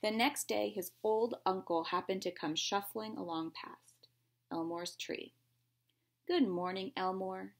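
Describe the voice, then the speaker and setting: A woman's voice: mid-range voice, measured pace, creaky. A woman reading a picture book aloud to young children like a teacher, expressively, with simple explanations and questions along the way.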